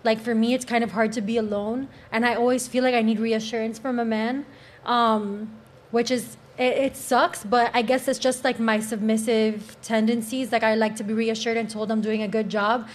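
A woman's voice: fairly high pitch, flat delivery, moderate pace. A woman with a Cuban accent, a panelist on a casual podcast, making her points earnestly and from her own experience. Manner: earnest, persuasive, speaks from personal experience.

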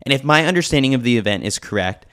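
A man's voice: medium pitch, animated, quick pace, fairly bright tone. A man reading a scripted sports newsletter with a lightly wry, joking manner. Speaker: newsletter reader, podcast host.

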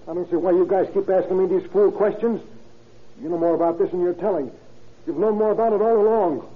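Tinny, narrow vintage radio sound. A man acts out an agitated male suspect in a crime drama. His high voice is strained, whining and defiant. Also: brisk, lightly acted. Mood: exasperated, defiant. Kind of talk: old-time radio drama acting.

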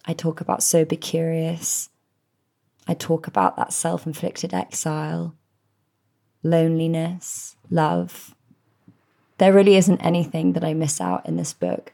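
Woman, 30s, podcast host, mellow calm voice with some gravel. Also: sits low. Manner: gentle, soothing, heartfelt. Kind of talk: reflective solo podcast address.

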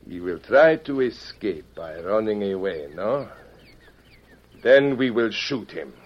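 A man's voice: on the low side, expressive. A man playing a cruel, sly Mexican gang leader with a Mexican accent, smug and menacing, in an old-time radio western. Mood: gloating, menacing. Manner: smug, menacing, drawn-out taunting. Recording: dull old radio recording.

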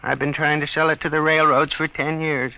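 A man's voice: fairly high pitch, flat delivery, brisk, gravelly, pushed and bright, exaggerated voice, low-quality recording. A man acting a small, proud, resentful crackpot inventor in an old radio comedy, with pompous, formal, long-winded speech. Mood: proud, self-important.

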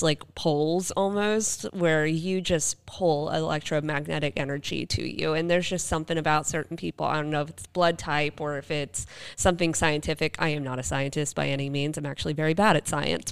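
A woman's voice: deep voice, gravelly, a bit bright, clear, resonant tone. A woman podcast co-host chatting casually and telling anecdotes, acting out bits of dialogue as she goes. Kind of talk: casual chat, anecdotal storytelling.

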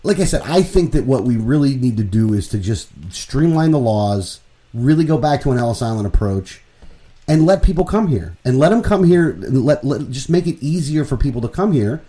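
A man co-hosting a talk radio show, laying out his political opinions in a casual, lively way. His voice is warm and mellow and swings widely in pitch as he explains things and works through figures. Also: moderate pace. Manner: animated, opinionated, explains with numbers.

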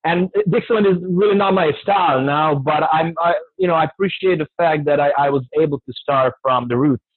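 A man's voice: a little high, fairly quick, no echo. A man in his thirties with a Serbian accent, a native Serbian speaker, talking casually and amiably as an interview guest over a phone-like line. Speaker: interview guest, jazz pianist. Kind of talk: casual interview answers, recounting own path. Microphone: remote call line, dry. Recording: thin band-limited phone-like line.

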